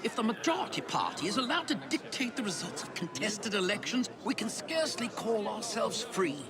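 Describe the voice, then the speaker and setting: Casting: a grandiloquent Victorian statesman, upper-class English, very high and highly animated, over loud music. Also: moderate pace. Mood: haughty. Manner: pompous, theatrical oratory. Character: indignant, grandiloquent male Victorian prime minister. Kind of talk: video game cutscene acting. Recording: loud music under dialogue.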